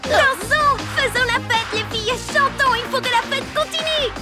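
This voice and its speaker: A woman playing a sweet, perky, excitable young girl in a cartoon, speaking eagerly over loud music. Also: very high-pitched, moderate pace. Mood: excited. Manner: eager, exclamatory. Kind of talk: cartoon dubbing.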